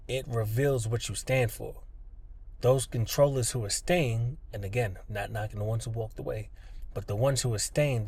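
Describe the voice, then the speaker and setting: Husky-voiced man giving a motivational talk. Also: medium pitch, darkish tone. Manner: earnest, plainspoken, emphatic, addresses listener directly. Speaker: podcast host, freelancer. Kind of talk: motivational podcast monologue, conversational.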